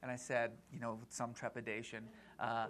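A man's voice: medium pitch, monotone, a little fast, a bit bright, slight background noise. A man on a discussion panel who tells a story from his own travels, hesitant and full of fillers. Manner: anecdotal, hesitant with many fillers. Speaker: panel guest.

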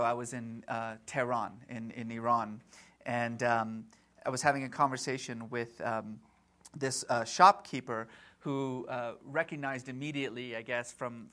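A man's voice: medium pitch, bright, forceful tone. A man on a discussion panel who tells a story from his own travels, hesitant and full of fillers. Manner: anecdotal, hesitant with many fillers. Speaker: panel guest.

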